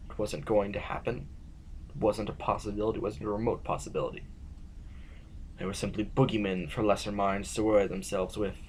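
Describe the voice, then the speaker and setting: Male actor as stern noble sovereign, low voice, formal measured delivery, audio drama, faint background noise. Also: close to an everyday voice. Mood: grave. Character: grave, foreboding sovereign noble, formal and severe.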